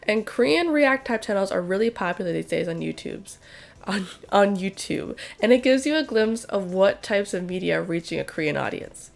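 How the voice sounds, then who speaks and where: A woman YouTuber giving casual, opinionated commentary while she draws, her voice hoarse and congested. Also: mid-range voice, animated, moderate pace. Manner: chatty, opinionated, conversational. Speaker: art YouTuber.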